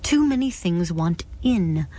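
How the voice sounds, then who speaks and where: A woman voicing a young male spiritualist who speaks gently but with grave warning. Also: fairly low pitch, expressive, takes it slowly.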